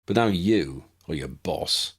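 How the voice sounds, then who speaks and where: A man's voice: deep voice, lively intonation, slow pace, slightly rough, heavily acted. A man voicing a blunt, accusing young male wizard with a colloquial manner in a fantasy audiobook. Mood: blunt, suspicious.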